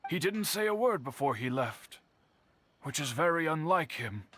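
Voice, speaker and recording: An actor playing a worried middle-aged uncle who speaks formally and with measured care, in a game. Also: male voice, fairly high pitch, sing-song, fairly bright tone, a little hiss or hum. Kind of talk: game voice acting. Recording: game audio with faint music.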